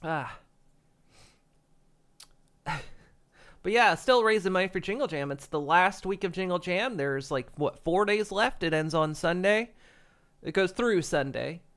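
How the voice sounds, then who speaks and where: A man's voice: high-pitched, expressive, a little slow, long pauses, a bit bright. A congested, stuffy-nosed man chatting casually to viewers as a livestreamer, rambling with frequent chuckles and restarts. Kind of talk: casual stream chat. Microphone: desk microphone.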